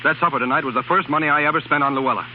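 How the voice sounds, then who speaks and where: An actor plays a wounded, jealous American husband with bristling pride. He fires words off extremely fast in a fairly high male voice, bitter and clipped, as in a 1930s radio play. The old broadcast is muffled, with faint noise. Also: lightly acted. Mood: hurt, defensive. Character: proud, wounded young husband, bitter, jealous struggling writer. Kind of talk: 1930s radio drama acting.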